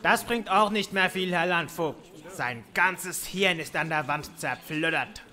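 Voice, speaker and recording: A man plays a medieval knight in a Swiss German audio comedy. His voice is highish and extremely sharp, with a blunt, dutiful delivery. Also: measured pace. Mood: matter-of-fact. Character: blunt male knight serving the bailiff. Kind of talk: comic audio drama acting.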